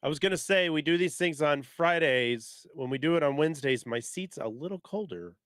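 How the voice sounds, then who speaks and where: A man's voice: a little high, lively intonation, fairly quick, fairly bright tone, dry recording, clean studio sound. A man co-hosting a podcast in casual banter, with a wry, grumbling delivery. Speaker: podcast co-host.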